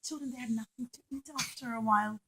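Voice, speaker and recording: A woman's voice: a little high, fairly even intonation, fast, bright, forceful tone, breathy edge. A woman telling a puppet-show story to young children as their teacher, in simple repeated phrases with questions to her listeners.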